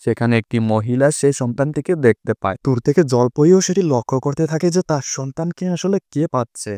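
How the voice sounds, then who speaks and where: A man's voice: mid-range voice, brisk, warm tone, dry recording, clean studio sound. A man giving a scripted storyteller's narration for a fairy-tale cartoon. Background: prominent music.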